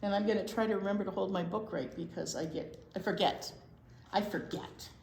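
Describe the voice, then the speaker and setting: A woman's voice: medium pitch, brisk, a little mellow. An older woman chatting casually and playfully to young children as a storytime teacher. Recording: echoey room.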